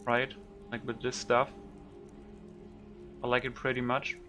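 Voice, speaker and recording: A man's voice: mid-range voice, completely flat delivery, a little slow, pushed and bright, soft background music. A man doing loose, informal game commentary as a YouTuber, thinking aloud without a script. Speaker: gaming YouTuber showing a modded game. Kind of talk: casual unscripted game commentary.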